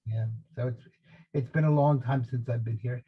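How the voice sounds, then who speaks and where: An older man from New Jersey with a New Jersey accent softened by Texas, chatting in a jokey way on a remote call. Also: medium pitch, steady tone, moderate pace, slightly rough, narrow, tinny audio. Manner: jokey storyteller, repeats yes and yeah. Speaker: co-host. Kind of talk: casual chat.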